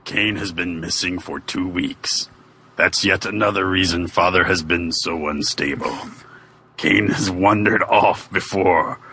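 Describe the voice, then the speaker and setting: A man voicing a solemn, honest undead male wrestler in a dramatic fan fiction reading, deep-voiced with a grave, stilted delivery. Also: sharp tone, full character voice. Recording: noticeable background noise.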